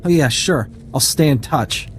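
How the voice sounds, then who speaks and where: An actor playing an aggrieved, shifty informant in a video game, whining and wheedling for payment. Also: male voice, mid-range voice, lively intonation, unhurried, a little hiss or hum, close to an everyday voice. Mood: grudging. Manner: whiny, indignant, wheedling.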